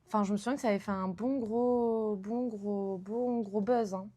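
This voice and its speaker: A woman's voice: mid-range voice, fairly even intonation, normal speed, warm tone. A young woman streamer chatting casually about books, with many fillers and restarts.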